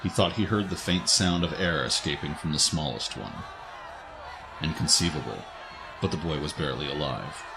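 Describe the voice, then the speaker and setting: A man's voice: extremely low pitch, moderate pace, gravelly, a crowd in the background, background noise. A man reading scripted, dramatic story narration as the narrator of an audio drama. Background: faint music.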